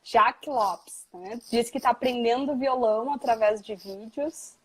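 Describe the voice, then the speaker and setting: A woman's voice: on the high side, unhurried, slightly rough, poor audio. Chatty woman hosting a live stream. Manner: chatty, reads viewer comments aloud. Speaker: host. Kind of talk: casual live chat.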